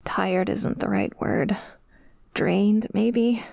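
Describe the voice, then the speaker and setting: A woman playing a possessive but vulnerable female sleep paralysis demon in an ASMR roleplay, speaking softly and hesitantly, close to the mic. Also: mid-range voice, fairly even intonation, vocal fry, no room sound, exaggerated voice. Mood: weary. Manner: hesitant, halting, tentative, confiding. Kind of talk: ASMR roleplay, intimate monologue addressed to a sleeper. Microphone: close microphone, dry.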